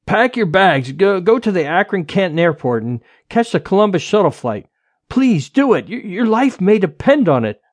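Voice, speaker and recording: A man playing a hunted, distraught husband and father in an audiobook, halting and urgent. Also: high voice, big pitch swings, darkish tone, slightly rough, no echo, full character voice.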